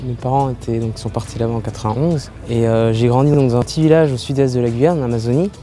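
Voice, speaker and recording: A young man from French Guiana, a pilot speaking earnestly in an interview, with pauses and fillers. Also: mid-range voice, steady tone, dark tone, faint music behind. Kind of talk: interview testimony.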